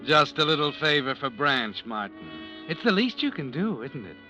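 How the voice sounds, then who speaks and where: A man's voice: fairly high pitch, big pitch swings, normal speed. An actor playing a sardonic, all-knowing male narrator in a 1940s radio drama, speaking to the hero as "you" in a mocking, insinuating way. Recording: old radio broadcast with music. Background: music.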